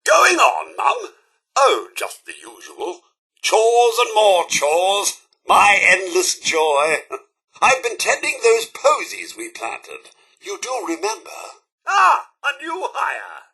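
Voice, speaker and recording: A man's voice: sits high, wildly swooping pitch, takes it slowly, creaky, bright, forceful tone, husky, exaggerated voice. A man with a British accent voicing a prim, fussy, loyal robot butler in a video game, theatrical and formally polite. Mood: cheerily dutiful. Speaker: voice actor. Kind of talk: video game companion voice lines.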